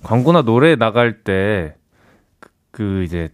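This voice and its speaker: A man co-hosting a casual radio chat, speaking in hesitant, halting phrases. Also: on the low side, very animated, clear, resonant tone, no echo, studio quality. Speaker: radio co-host and musician.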